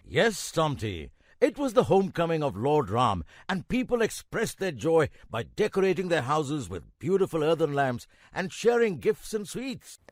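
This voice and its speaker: A man playing a kindly old grandfather in a children's cartoon, narrating a legend in a measured, expressive way with emphatic pauses. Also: on the high side, no echo, studio quality. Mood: patient storytelling.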